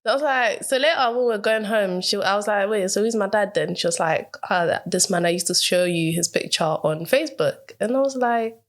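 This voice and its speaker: A woman's voice: medium pitch, brisk, few pauses. A woman, a guest on a podcast, telling her own story in a hesitant, rambling way and often laughing. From Ghana. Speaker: podcast guest, mental health coach. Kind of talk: casual interview chat.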